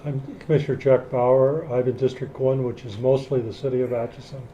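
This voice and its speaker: Brief: a man, county commissioner, mellow tone, plain talk, faint hiss behind. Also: mid-range voice, little pitch movement, brisk, muffled recording. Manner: plain, repeats himself. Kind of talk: public meeting remarks. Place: county meeting room.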